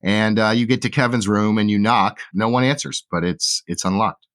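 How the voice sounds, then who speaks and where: A man narrating a tabletop game as game master, relaxed and full of fillers. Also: lowish voice, normal speed.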